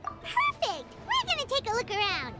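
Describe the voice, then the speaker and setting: A tiny game fairy with a squeaky, very high childlike voice. She is bold, excitable and suspicious, and her pitch leaps wildly from phrase to phrase over loud music. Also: normal speed, exaggerated voice. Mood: eager. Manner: speaks of self in third person, exclamatory. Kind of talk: animated video game voice acting.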